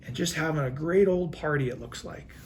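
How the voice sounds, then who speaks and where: A man's voice: on the high side, fairly quick, a little hiss or hum. An American man, a police chief, reads a children's picture book aloud expressively, with casual asides.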